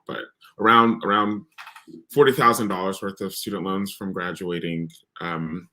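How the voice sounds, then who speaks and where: A man with a deep voice introduces himself on a video-call panel. He speaks in an easygoing, upbeat way, with fillers along the way. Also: pushed and bright. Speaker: debt organizer.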